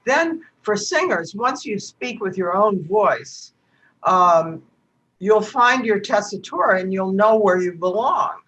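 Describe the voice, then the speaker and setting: Low-voiced older woman mentoring. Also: lively intonation, slow, a bit bright, muffled recording. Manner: reassuring, affirming, teacherly. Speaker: interview guest, contralto and voice mentor. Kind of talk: conversational interview.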